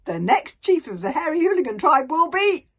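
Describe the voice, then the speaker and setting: A woman's voice: high-pitched, sing-song, moderate pace, fairly bright tone, pitched above the performer's own voice. A woman doing Hiccup, a plucky young boy. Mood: defiant.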